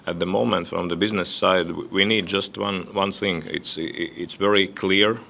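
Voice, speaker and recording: A man on a discussion panel giving his remarks, halting and often repeating words. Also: deep voice, steady tone, vocal fry, bright, forceful tone, slight background noise. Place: conference hall.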